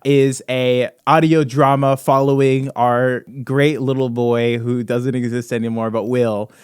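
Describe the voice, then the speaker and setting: A man's voice: mid-range voice, flat delivery, unhurried, darkish tone, clear, resonant tone, no room sound, studio quality. A man co-hosting a podcast in casual, joking group chat, emphatically repeating short phrases for effect. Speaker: podcast co-host.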